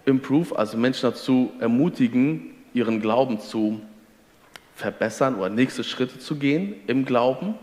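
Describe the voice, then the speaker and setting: A man from Ostwestfalen explains faith in an earnest, teacherly tone, citing scripture as he goes. His voice sits at a middling pitch. A long reverb hangs on the room around him. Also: lively intonation, moderate pace, a little mellow. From Ostwestfalen, Germany. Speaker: guest. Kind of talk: faith conversation.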